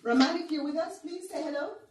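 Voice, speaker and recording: A woman with a high voice hosts an online panel in measured, halting phrases. She sounds distant from the microphone, and the room echoes heavily around her words. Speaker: moderator of a panel webinar. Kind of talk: hosting a formal online panel discussion. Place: indoor room on an online call.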